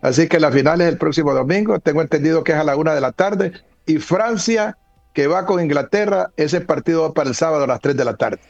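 A man hosting a sports radio show, giving measured, emphatic match analysis. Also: on the high side, vocal fry, no echo, muffled recording, soft background music.

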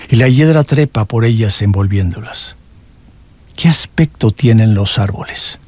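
A man reading a lively, confident young woman. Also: mid-range voice, no room sound, close to an everyday voice. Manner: vivid, precise, self-assured.

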